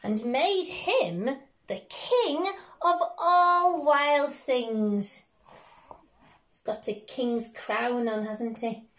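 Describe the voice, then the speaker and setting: A woman in her thirties with an English accent reads a picture book aloud to children as a teacher would, with expressive, drawn-out storytelling. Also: on the high side, low-quality recording. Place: small bedroom at home, indoors.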